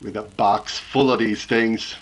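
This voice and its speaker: A man's voice: on the low side, fairly even intonation, very noisy. Man playing a folksy, grumbling radio engineer. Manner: slangy, halting, casual. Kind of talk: 1930s radio acting.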